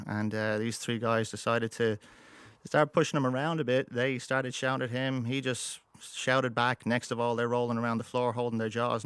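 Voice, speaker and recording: Interview guest, male, Irish-American accent, chatty and halting, dry recording. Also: mid-range voice, fairly bright tone, clean tone, no room sound. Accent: mixed Irish and American. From United States, moved to Ireland. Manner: rambling, many restarts and fillers. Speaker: guest, musician. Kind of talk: casual interview storytelling.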